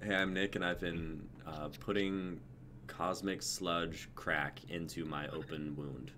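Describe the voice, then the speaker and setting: A man's voice: sits low, fairly even intonation, slow pace, sharp tone. A young man hosting a livestream in casual sign-off chat. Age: young adult. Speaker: livestream host. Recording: background noise, livestream audio.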